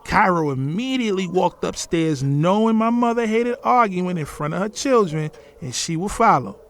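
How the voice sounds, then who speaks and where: A man's voice: high voice, expressive, moderate pace, a bit bright. A man acting an observant grown son, narrating a scripted audio drama in the first person. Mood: wry. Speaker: narrator and character.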